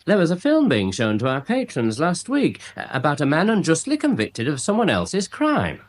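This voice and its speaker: A pompous English amateur theorist for a radio comedy-mystery. His voice is a little rough and swings very widely in pitch. He talks in long, ponderous, self-important sentences. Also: huge pitch swings, normal speed. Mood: earnest theorising. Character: pompous, long-winded small-town man with amateur theories. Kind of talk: British radio comedy-mystery drama acting.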